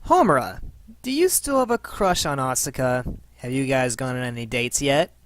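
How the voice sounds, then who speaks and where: A man's voice: medium pitch, expressive, normal speed, fairly bright tone. A man hosting a fan Q and A with scripted, playful, theatrical announcing. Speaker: host of a fan Q and A.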